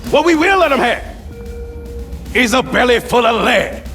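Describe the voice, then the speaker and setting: A man's voice: very high-pitched, lively intonation, moderate pace, bright, forceful tone, heavily acted. A man acting a gung-ho, bellicose Marine sergeant in a video game, barking with swagger at his troops. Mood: defiant, rousing. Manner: barking, swaggering drill-sergeant delivery with emphatic punches. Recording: game cinematic with music behind. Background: music.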